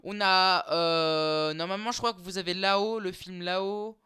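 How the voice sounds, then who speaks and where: A man's voice: high voice, fairly even intonation, normal speed, pushed and bright, clear, resonant tone. A young man talking casually to his viewers in a vlog, hesitant, full of fillers and restarts, calling them guys. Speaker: YouTuber listing streaming titles. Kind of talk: casual unscripted vlog talk.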